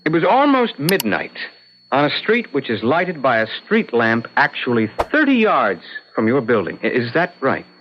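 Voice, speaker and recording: A man's voice: medium pitch, wildly swooping pitch, normal speed, a little hiss or hum. A man acting a challenging, doubting defense attorney who cross-examines precisely and insistently in an old-time radio drama. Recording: dull lo-fi vintage radio recording.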